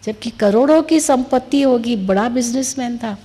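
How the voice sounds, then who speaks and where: A woman's voice: on the high side, normal speed, mellow. A woman giving a didactic spiritual discourse, posing questions and repeating phrases for emphasis. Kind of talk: spiritual discourse with everyday analogies and parables. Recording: long reverberant hall echo.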